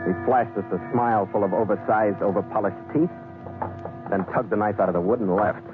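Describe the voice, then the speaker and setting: A man's voice: fairly low pitch, brisk, natural voice. A man playing a tough, wary sea captain who narrates and acts in a 1940s radio adventure in a terse, wry manner. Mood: tense, determined. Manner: terse, hard-boiled, wry. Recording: narrow old 1940s radio recording, music.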